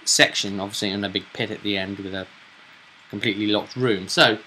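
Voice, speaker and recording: A man's voice: fairly low pitch, steady tone, fairly quick, sharp tone, slight background noise, rough recording. A man casually recapping a tabletop roleplaying session as game master, with a storyteller's phrasing and tag questions.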